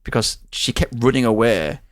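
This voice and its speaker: Male podcast co-host, mid-pitched, informal storytelling, very dry sound. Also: lively intonation, normal speed, fairly bright tone, dry recording. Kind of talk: casual anecdotal chat.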